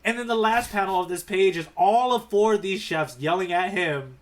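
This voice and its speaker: A man's voice: sits high, moderate pace. A man co-hosting a podcast, talking casually and enthusiastically about comics. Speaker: podcast co-host. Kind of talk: casual manga discussion chat.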